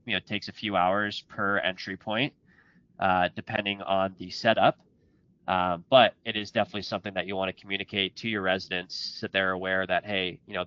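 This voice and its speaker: A man's voice: low voice, bright, forceful tone, no echo. A man hosting a webinar, explaining things in a conversational way. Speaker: webinar host and presenter. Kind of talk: webinar presentation. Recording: dull, lo-fi online audio.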